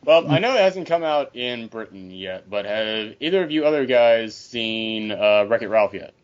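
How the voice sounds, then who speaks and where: A man's voice: on the low side, lively intonation, normal speed. Blunt, slangy man on lo-fi chat. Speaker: podcast panelist. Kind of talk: casual film discussion. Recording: dull lo-fi voice chat.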